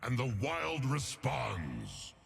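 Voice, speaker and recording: An actor voicing an ancient, wrathful bear god of storms in a video game, growling and booming in grandiose, solemn declarations. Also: male voice, huge pitch swings, extremely slow, vocal fry, a bit bright, soft background music, heavily acted. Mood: solemn, menacing. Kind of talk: theatrical video game character voice lines.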